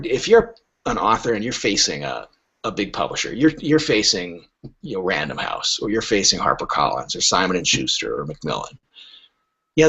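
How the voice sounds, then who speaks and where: A man's voice: lowish voice, a bit bright, breathy edge, highs cut off. A man in conversational explaining mode as a podcast guest, emphatic, often repeating words as he starts and posing rhetorical questions. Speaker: literary agent, guest.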